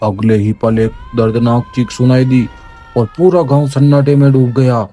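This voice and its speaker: A man's voice: medium pitch, steady tone, normal speed, warm tone. A man giving dramatic, scripted horror narration in an ominous, suspenseful manner, with music behind.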